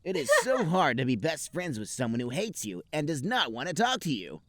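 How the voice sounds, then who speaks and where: A man's voice: a little high, very animated, a little fast, fairly bright tone, dry recording, voice pushed up. A man voicing a blunt, dim teenage cartoon hedgehog boy with stilted, exaggerated delivery in a comic fan fiction reading. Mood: mopey.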